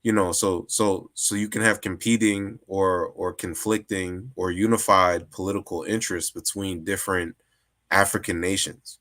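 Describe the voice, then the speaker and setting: Deep, bright-toned man in casual discussion. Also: fairly even intonation, moderate pace. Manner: restarts and repeats words while building an argument. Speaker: guest.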